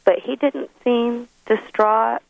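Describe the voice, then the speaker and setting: A woman's voice: a little high, steady tone, slow, gravelly, no room sound. A young adult woman talking casually as a caller, a mother describing things over the telephone. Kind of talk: casual phone conversation. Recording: dull lo-fi telephone line.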